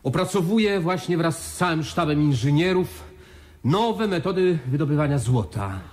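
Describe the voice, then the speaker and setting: An actor playing an assertive, stonewalling chief engineer in a radio play, formal and emphatic. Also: male voice, a little high, lively intonation, moderate pace, darkish tone, natural voice. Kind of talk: 1980s Polish radio play acting.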